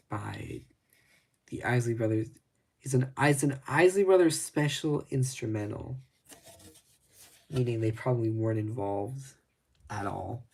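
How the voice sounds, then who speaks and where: A man showing records from his collection in casual talk, laid-back and rambling, his voice slightly raspy, with frequent ums and restarts. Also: on the low side, slight background noise.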